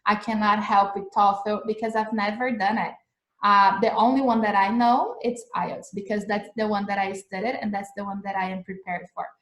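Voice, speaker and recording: A woman's voice: mid-range voice, fairly even intonation, moderate pace, fairly bright tone, roomy sound. Chatty Brazilian woman teaching English. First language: Portuguese. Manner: chatty, frequent "right?" and "like" checks. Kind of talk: casual livestream lesson. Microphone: phone livestream.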